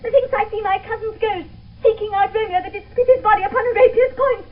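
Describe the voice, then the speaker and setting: A woman's voice: very high voice, steady tone, fast talker, slight background noise. An actress playing a frightened young noblewoman in an intense, theatrical 1970s radio Shakespeare style. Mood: frantic, terrified. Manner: intense, theatrical verse delivery. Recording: thin phone-like old radio, distant mic.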